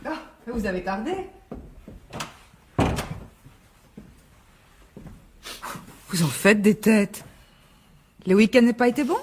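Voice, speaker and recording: A woman's voice: medium pitch, lively intonation, moderate pace, a little mellow, husky. An actress playing a defensive, indignant mother in a French radio drama, incredulous and dismissive in her retorts. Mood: wary.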